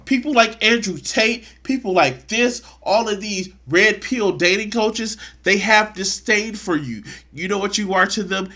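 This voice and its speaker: A man's voice: high-pitched, a little slow, few pauses, bright, forceful tone. Indignant profane streamer man. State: congested. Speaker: streamer reacting to a video. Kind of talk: casual reaction commentary.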